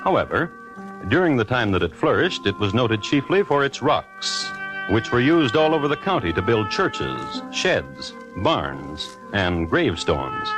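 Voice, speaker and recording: A man hosting and narrating a 1950s radio crime drama in a wry, measured storytelling manner. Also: on the low side, low-quality recording. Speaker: host and narrator. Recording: old radio broadcast with music.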